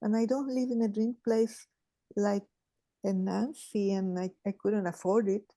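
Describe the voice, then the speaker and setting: A woman, sharing in a group call in a halting, thoughtful way with many restarts. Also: medium pitch, fairly even intonation, warm tone, no echo. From Chile. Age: 80s. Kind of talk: casual sharing on a video call.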